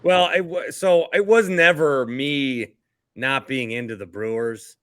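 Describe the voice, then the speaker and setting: A middle-aged man hosts a sports podcast with an easy conversational delivery. His voice sits at an ordinary male pitch. The sound is very dry, with hardly any room in it. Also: a little slow, a bit bright, smooth, dry recording. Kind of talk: casual sports chat.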